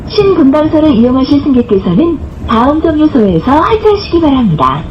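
A woman's voice: sits high, sing-song, a little fast, muffled recording, background noise. A woman reading scripted bus stop announcements in a formal, carefully enunciated way, recorded inside a bus. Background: bus interior ambience.

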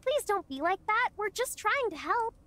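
A woman's voice: very high-pitched, big pitch swings, moderate pace, a bit bright, heavily acted. A woman voicing a sweet, earnest young girl in a video game, polite and a little hesitant. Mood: concerned, coaxing. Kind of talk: video game character acting.

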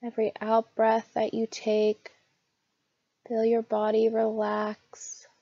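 A woman narrating a scripted guided meditation in a soothing, gentle, unhurried manner. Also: a little high, completely flat delivery, creaky, dry recording, lo-fi sound.

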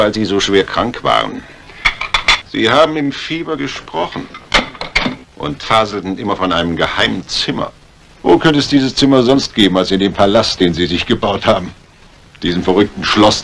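A lowish male voice on a faintly noisy vintage radio recording. He is a shrewd, wary doctor in a fifties thriller, laconic and dry. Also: expressive, normal speed, creaky, a bit bright. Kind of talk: 1950s German radio crime drama acting.

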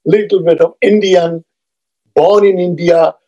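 A husky-voiced man in his seventies, with a voice that sits on the higher side for a man. His is Urdu, and he talks unhurriedly into a webcam from a home study, wandering between thoughts and filling pauses with "uh". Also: steady tone, warm tone, highs cut off. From Bangladesh. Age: 70s. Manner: measured, discursive, pausing often with "uh". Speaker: historian vlogger. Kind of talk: personal introduction lecture. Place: home study lined with bookshelves. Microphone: webcam at desk, seated medium shot.

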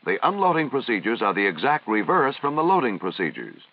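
An American man reading formal, measured, instructional narration in the style of a 1950s military training film. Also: medium pitch, very animated. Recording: dull, band-limited vintage film soundtrack.

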